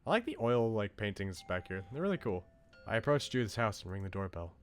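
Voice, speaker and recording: A man's voice: on the low side, sing-song, fairly quick, soft background music. A man streaming a game, reading story narration aloud and adding casual commentary.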